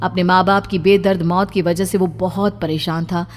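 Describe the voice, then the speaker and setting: A woman's voice: fairly low pitch, fairly even intonation, normal speed, slight background noise. A woman narrator reading a scripted horror story in a dramatic style.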